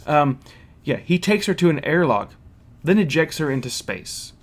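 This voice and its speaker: A man's voice: fairly high pitch, big pitch swings, moderate pace. A man giving a casual, opinionated review and story recap, speaking in a blunt, critical way.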